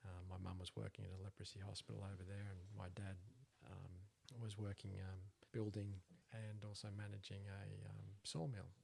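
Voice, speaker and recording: Gravelly low Australian man interviewing. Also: little pitch movement, moderate pace, few pauses. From Papua New Guinea. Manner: warm, earnest, asks guest questions. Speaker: podcast co-host, interviewer. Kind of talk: casual interview chat.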